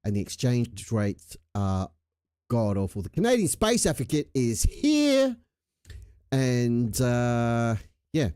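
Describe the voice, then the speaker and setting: A man's voice: medium pitch, huge pitch swings, slow pace, a little mellow, clear, resonant tone, no echo, studio quality. An Australian man hosting a casual livestream, laid-back, jokey and sweary, getting over a chest infection. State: recovering from a chest infection with a lingering cough. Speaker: livestream host.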